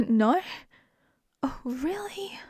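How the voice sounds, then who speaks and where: A woman's voice: sits high, lively intonation, drawn-out words, darkish tone, dry recording. A woman with an Australian accent in a close-mic ASMR roleplay as a wife, playful and giggly.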